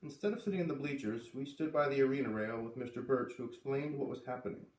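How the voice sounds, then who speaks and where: A man reads a children's story aloud with expression, giving the dialogue a light lift. His voice sits at some distance from the microphone, with clear room echo around it. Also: medium pitch, quick pace, darkish tone. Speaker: reader of a children's book read-aloud series. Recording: roomy, voice away from the microphone.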